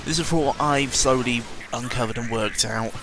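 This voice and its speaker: A man's voice: mid-range voice, normal speed, background noise. A man giving a casual, rambling audio-log monologue as host, sardonic and cynical, often interrupting himself and swearing freely.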